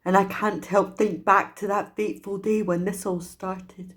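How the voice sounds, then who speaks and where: A woman with a Scottish accent performing a dramatic, emotive solo stage monologue as a traumatised young woman raised in an orphanage, pausing deliberately. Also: medium pitch, flat delivery, close to an everyday voice. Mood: hushed dread.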